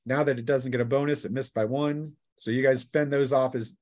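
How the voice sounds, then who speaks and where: A man's voice: mid-range voice, steady tone, fairly quick, a little mellow. A man refereeing a tabletop role-playing game, talking off the cuff as he narrates the action and works through the rules. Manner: conversational, narrates action between rules talk and arithmetic. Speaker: game master.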